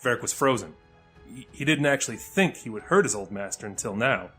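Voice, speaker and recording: A man's voice: medium pitch, expressive, a little fast, bright, forceful tone, faint music behind. A man reading scripted fantasy fiction as the narrator of an audio drama.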